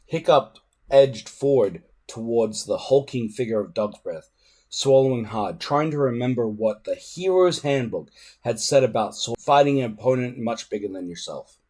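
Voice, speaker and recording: Male storybook narrator: medium pitch, very lively intonation, dramatic and expressive read. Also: normal speed. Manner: dramatic, animated. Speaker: storybook reader.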